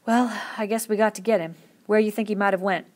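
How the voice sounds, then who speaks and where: A woman voicing a calm, steady ranch leader who speaks quietly in a rural drawl. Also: medium pitch, quick pace, close to an everyday voice. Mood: somber.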